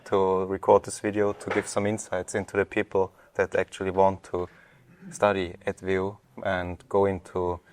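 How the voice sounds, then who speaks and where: A man's voice: low voice, completely flat delivery, normal speed, a little hiss or hum. A young man from Austria, a university student, hosting an interview and asking polite, slightly formal prepared questions. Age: young adult. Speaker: student host.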